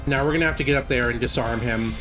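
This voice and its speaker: A man's voice: medium pitch, very fast, low-quality recording. A man giving casual live commentary as he plays through a game speedrun. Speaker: gaming streamer, speedrun commentator. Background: game music and sound.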